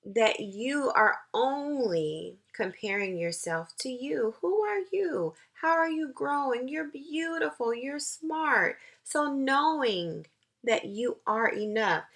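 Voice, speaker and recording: A woman school counselor giving an encouraging, nurturing talk to young children, with deliberate, emphatic phrasing and pauses. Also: fairly high pitch, vocal fry, fairly bright tone.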